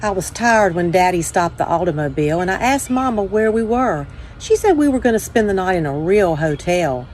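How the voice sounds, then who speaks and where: A woman hosting a children's story time, reading aloud with measured, deliberate phrasing. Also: fairly low pitch, animated, darkish tone, slight background noise. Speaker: church children's ministry director, story time host.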